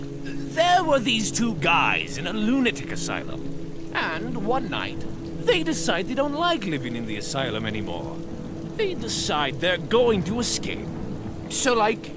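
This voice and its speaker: An actor playing a manic, theatrical supervillain clown in a comic dub, unhinged and swinging between shouts and mutters. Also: male voice, high voice, heavy background noise, exaggerated voice. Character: manic, theatrical middle-aged male supervillain clown madman.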